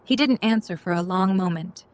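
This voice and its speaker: A woman's voice: on the low side, moderate pace. A woman reading scripted story narration as the narrator of an audio drama.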